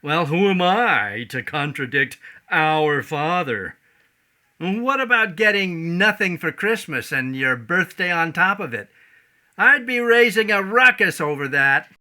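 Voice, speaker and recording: An actor playing a grouchy, proud man who lives alone, gruff and patronizing, in a stage play. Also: on the high side, animated, measured pace, bright, forceful tone, exaggerated voice. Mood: skeptical, needling. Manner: gruff, blustering, patronizing, hesitant when challenged. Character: grouchy, proud, blustering solitary grown man.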